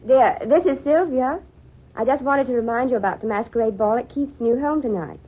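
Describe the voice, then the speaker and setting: A woman's voice: fairly high pitch, brisk, slightly rough. An actress playing an affectionate, gushing society woman in a theatrical 1940s radio drama style. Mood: chatty, gushing. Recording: dull, hissy old radio recording.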